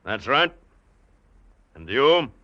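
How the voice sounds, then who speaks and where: An actor playing a sardonic, supremely self-assured plantation owner in a commanding, clipped old-time radio drama style. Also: male voice, medium pitch, very animated, very slow, sharp tone, no room sound, full character voice. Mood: curt, assured. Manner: commanding, clipped, unshakably confident. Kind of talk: 1940s-50s radio drama acting. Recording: muffled vintage radio recording, music behind.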